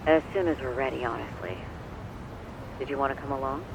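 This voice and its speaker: An actor playing a courteous male legal professional in a video game, speaking politely and in a measured way. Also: mid-range voice, vocal fry, noisy background, natural voice. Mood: helpful.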